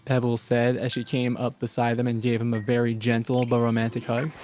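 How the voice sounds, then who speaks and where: A man's voice: medium pitch, monotone, a little fast, a little mellow. A man reading a story aloud as narrator in a scripted storytelling style, with music behind him.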